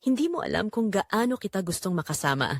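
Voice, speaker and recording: A woman's voice: mid-range voice, very animated, brisk, dry recording, studio quality. A woman voicing a flirtatious, persistent male online suitor, coaxing and romantic, in an audio drama. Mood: longing.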